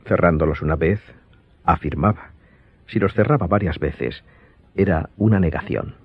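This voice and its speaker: A man's voice: low-pitched, normal speed, husky, no echo. A man narrating a scripted radio drama as the storyteller. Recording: slightly dull audio, music behind.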